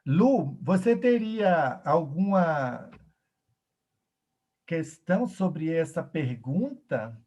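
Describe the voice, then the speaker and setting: A man's voice: on the high side, very animated, extremely slow, dark tone, dull audio. A man, a professor moderating an online discussion over a video call, speaking reflectively with hesitations and repeated words.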